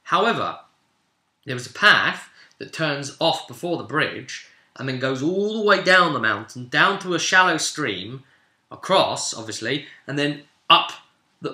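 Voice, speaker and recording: Bright, forceful British man in echoey room. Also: medium pitch, lively intonation, moderate pace. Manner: informal storyteller, explanatory with frequent asides. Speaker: game master. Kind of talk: casual recap of a tabletop role-playing session.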